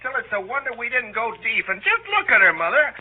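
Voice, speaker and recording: A man's voice: extremely high pitch, very animated, fast talker, husky, exaggerated voice. A man playing an earnest, pompous, lovestruck teenage boy in a 1930s radio drama, easily indignant and given to exclamation. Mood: indignant, whining. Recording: old 1930s radio, narrow tinny band.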